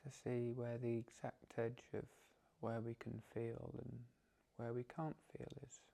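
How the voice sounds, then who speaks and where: A man leading a guided meditation as a teacher, speaking calmly and without hurry, with long pauses between phrases. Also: medium pitch, vocal fry.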